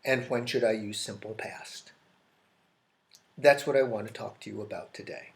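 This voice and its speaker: A man's voice: lowish voice, steady tone, gravelly. American man teaching grammar, echoey room. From United States. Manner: explains slowly, repeats key phrases, restarts sentences. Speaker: English teacher. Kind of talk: classroom grammar lesson at a whiteboard. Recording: echoey classroom.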